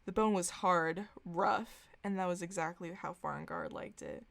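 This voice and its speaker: A woman's voice: fairly low pitch, normal speed, vocal fry, fairly bright tone. A young woman co-hosting a podcast, reading aloud and chatting in an informal, amused way. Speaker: podcast co-host. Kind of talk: casual reading aloud of fan fiction and chat.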